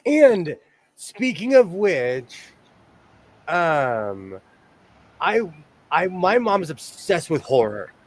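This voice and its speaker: Male host, somewhat high voice, rambling self-deprecating chatter. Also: big pitch swings, extremely slow, pauses often. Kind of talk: casual chat.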